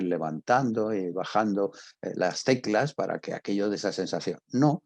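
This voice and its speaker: A man speaks as a teacher giving an informal lesson. His voice sits fairly low and has a distinctly rough, husky grain. He explains didactically, restating points and listing examples, in a very dry recording with hardly any echo. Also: darkish tone. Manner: didactic, rephrasing with lists and examples. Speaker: drama teacher and academic.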